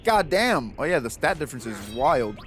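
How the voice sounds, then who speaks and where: A man's voice: medium pitch, big pitch swings, moderate pace, a little hiss or hum. A man doing casual, slangy let's play commentary with playful reactions.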